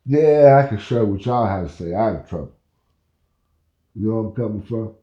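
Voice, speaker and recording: Man in his 50s, rambling and chatty, low mellow voice, echoey room sound kinda dull. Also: lively intonation, normal speed. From Oklahoma. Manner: rambling, repeats "you know where I'm coming from". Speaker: podcast host. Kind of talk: casual storytelling monologue.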